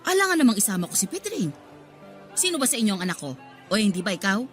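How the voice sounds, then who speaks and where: A woman playing a hardworking, scolding yet loving market-vendor mother in a radio drama, expressive and motherly, with music behind her. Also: mid-range voice, fast talker. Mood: earnest, insistent. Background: music bed.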